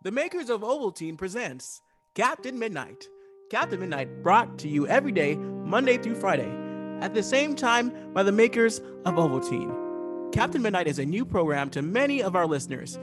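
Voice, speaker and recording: Vintage radio announcer, played by a woman, eager and emphatic, selling adventure, medium pitch, music underneath. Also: big pitch swings, moderate pace, lightly acted. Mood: rousing, promotional. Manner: emphatic, promotional. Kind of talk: 1940s radio announcing, scripted read.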